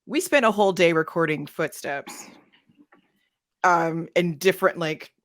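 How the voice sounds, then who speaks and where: A woman's voice: lowish voice, a little slow, fairly bright tone. A woman speaking casually and enthusiastically as a podcaster on a panel, peppering her talk with "like". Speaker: panelist, audio drama podcaster.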